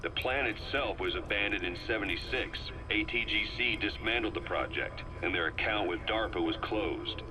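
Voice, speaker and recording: A deep-voiced, middle-aged spy acted by a man speaks in measured, cryptic phrases. He is calculating and loyal, a video game character heard on a noisy taped recording. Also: lightly acted. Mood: grave, confiding. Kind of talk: scripted video game voice acting on a cassette tape.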